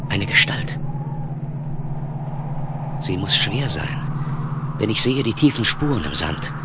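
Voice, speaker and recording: An actor playing a dazed, anxious space pilot slipping under alien control, narrating in halting, trance-like phrases in a 1970s radio drama. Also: male voice, lowish voice, background noise.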